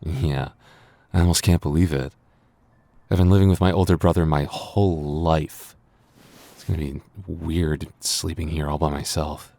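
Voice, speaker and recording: A man's voice: very deep voice, fairly even intonation, moderate pace, creaky, warm tone, no echo, heavily acted. A man playing a flustered young man with a secret crush in an intimate boyfriend ASMR roleplay, stammering and getting defensive. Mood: wistful, defensive. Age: young adult.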